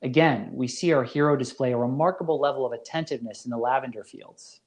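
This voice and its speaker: A male professor narrates a scripted academic lecture in a mid-pitched voice. His phrasing is deliberate and literary, each clause carefully set out. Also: a little mellow.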